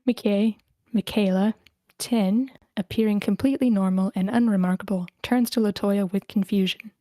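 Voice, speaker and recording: A woman's voice: medium pitch, steady tone, moderate pace, warm tone, dry recording. A woman hosting a screenplay table read, switching between informal, encouraging chat and narration of stage directions. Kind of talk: casual hosting and script narration.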